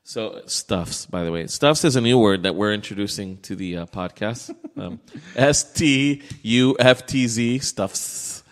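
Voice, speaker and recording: A man's voice: lowish voice, a little slow, few pauses. A man hosting a sports podcast in casual, joking banter, with a blunt, crude and opinionated way of talking. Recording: echoey room with long reverb.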